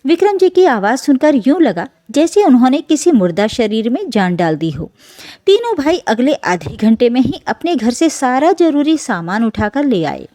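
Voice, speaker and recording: A woman's voice: high voice, sing-song, normal speed, mellow, no echo. A woman reading a scripted, emotional family story as a dramatic narration.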